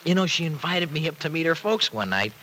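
An actor playing a breezy, grumbling young working-class wisecracker in an old radio soap, talking in folksy slang. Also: male voice, fairly high pitch, big pitch swings, brisk, sharp tone, low-quality recording. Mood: wry storytelling. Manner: slangy, folksy wise-guy talk. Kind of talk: 1940s-50s radio soap opera acting. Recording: old radio transcription with faint noise.

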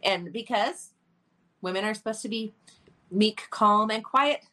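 A woman's voice: medium pitch, measured pace, pushed and bright. A woman co-hosting a podcast, talking earnestly and breaking into laughter often. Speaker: podcast co-host. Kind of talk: candid conversational podcast talk.

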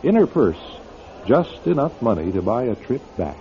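A man's voice: mid-range voice, sing-song, moderate pace, warm tone. A man hosting and narrating a radio drama in a theatrical, wry, suspenseful style. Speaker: host and narrator. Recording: dull lo-fi broadcast with music behind. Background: music.

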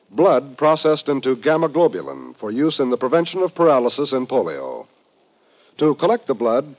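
A man announcing on 1950s radio, reading a script in a formal, earnest manner. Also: medium pitch. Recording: muffled 1950s radio recording.

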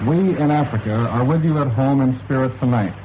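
An American man of medium pitch narrates a scripted 1940s radio news report as a war correspondent. The sound is dull and band-limited, with clear radio noise throughout. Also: a little fast. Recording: dull, noisy wartime shortwave radio relay.

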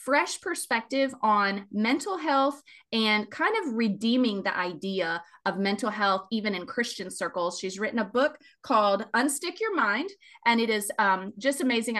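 A middle-aged woman hosting a faith-based podcast, warm and enthusiastic, affirming her guest as she talks. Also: medium pitch. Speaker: podcast host. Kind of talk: podcast interview and sponsor appeal.

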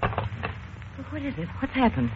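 An excitable loyal friend. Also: female voice, high-pitched. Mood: worried. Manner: quick, excitable and worried. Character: young woman dancer, anxious and loyal friend. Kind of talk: 1940s radio acting. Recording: muffled old radio broadcast with hiss.

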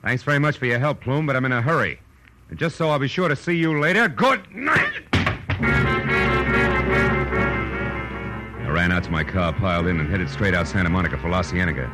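A man's voice: medium pitch, sing-song, moderate pace, pauses often, bright, forceful tone, soft background music, low-quality recording. A man playing a tough, wry American private detective in a 1940s-style radio drama, clipped and wisecracking. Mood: tense narration. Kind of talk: 1940s radio drama acting and noir narration.

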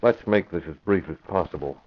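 An actor playing a resolute American crime fighter with a brisk, decisive manner in 1940s radio drama style. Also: male voice, low-pitched. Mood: businesslike. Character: resolute masked crime fighter, grown son, commanding. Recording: muffled, noisy vintage radio recording.

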